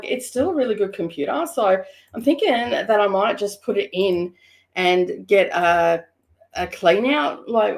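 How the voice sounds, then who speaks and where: Croaky-voiced woman, rambling chat. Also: mid-range voice. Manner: rambling, chatty, many fillers. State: hoarse. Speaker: craft live-streamer host. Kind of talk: casual chat live stream. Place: home craft studio.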